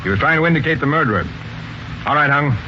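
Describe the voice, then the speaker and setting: An actor playing a brisk, blunt police sergeant in a 1940s radio mystery. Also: male voice, fairly high pitch, lively intonation. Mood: brisk, stern. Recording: muffled, noisy 1940s radio recording.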